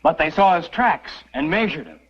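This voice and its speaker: An eager, lovestruck young man from a Western mining camp. His voice sits fairly high and moves very animatedly, with boyish earnestness. It is an old radio drama recording, dulled with age. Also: animated, moderate pace, slightly rough. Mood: eager, insistent. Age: young adult. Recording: muffled old radio recording, faint music.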